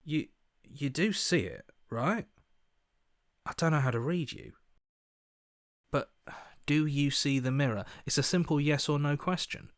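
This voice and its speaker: A man's voice: fairly high pitch, unhurried, long pauses, dry recording, lightly acted. Man acting an earnest hero, very dry recording. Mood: doubtful, pressing. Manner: earnest, sceptical, pressing. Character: earnest, questioning, principled male hero. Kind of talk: video game character voice acting.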